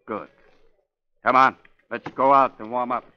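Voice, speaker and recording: A man's voice: medium pitch, steady tone, unhurried, lightly acted. An actor playing a domineering, coaxing, touchy young bat boy, insistent and commanding, in 1930s radio style. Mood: hypnotic, demanding. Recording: muffled old 1930s radio recording.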